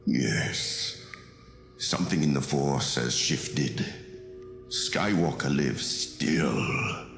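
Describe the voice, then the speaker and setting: Ancient villain master for audio drama: extremely deep, creaking, contemptuous, ominously slow delivery. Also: male voice, full character voice. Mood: ominous, testing. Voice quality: gravelly, creaky. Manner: slow, deliberate, ominous, imperious. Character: menacing ancient reptilian dark-side master, cunning and contemptuous. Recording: echoing voice over music.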